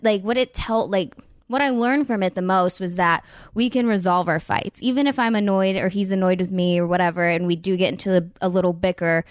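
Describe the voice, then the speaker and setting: A woman hosts a casual podcast chat with a candid, filler-heavy way of talking. Her voice is smooth and resonant, and the sound is close and dry. Her pitch sits in the middle range. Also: dry recording. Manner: candid, talks fast with many "like" fillers. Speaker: podcast host.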